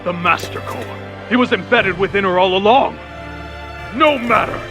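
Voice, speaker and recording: Ruthless, high-pitched barking commander. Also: male voice, normal speed, over music. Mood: cold, forceful. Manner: imperious, barking. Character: ruthless male enemy commander barking orders at soldiers. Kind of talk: dramatic video game voice acting.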